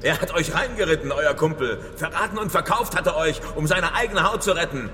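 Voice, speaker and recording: Scornful gang boss type, commanding speechy tone, big echoey space w some noise. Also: male voice, fairly high pitch, brisk, pushed and bright. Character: manipulative male ringleader of far-right comrades. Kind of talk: German radio crime drama acting. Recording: echoey hall, long reverb, background noise.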